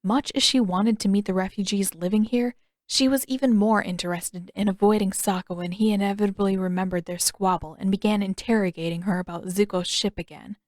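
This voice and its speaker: A woman's voice: mid-range voice, steady tone, a little fast, a little mellow, no room sound. Female fiction narrator, medium register, close dry studio-like sound, scripted storytelling read. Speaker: audiobook narrator.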